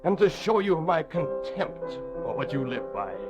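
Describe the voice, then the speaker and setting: Slow, declaiming prisoner-scholar. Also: male voice, fairly high pitch, very animated, lo-fi sound, music in the background. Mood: scornful. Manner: slow, deliberate, poetic declamation. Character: long-imprisoned intellectual man, proud, world-weary and rapturous. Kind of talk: old time radio drama acting.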